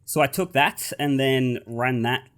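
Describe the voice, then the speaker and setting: A man's voice: medium pitch, fairly even intonation, a little slow, fairly bright tone. A man in his thirties from Australia with a nasal Australian accent, chatting casually as a podcast guest over a video call. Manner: self-deprecating, chatty. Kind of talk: casual chat.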